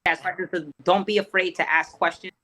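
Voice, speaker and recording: A man's voice: sits high, fairly even intonation, a little fast, pushed and bright. A man, a rapper guest on a podcast, talking casually in loose street slang.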